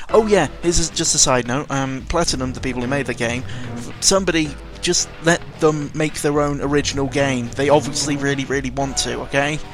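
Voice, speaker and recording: A man's voice: medium pitch, moderate pace, hardly any pauses. A British man giving casual, unscripted video game commentary, rambling along in a sarcastic, mildly sweary way. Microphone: close microphone. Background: video game music.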